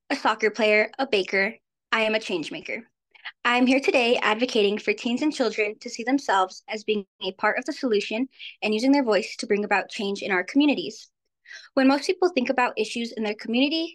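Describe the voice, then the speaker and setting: Teen girl from new mexico reading her speech quick, bit high, over a webcam. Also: fairly bright tone. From New Mexico, USA. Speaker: youth speaker. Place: indoors, virtual background. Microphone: video call webcam.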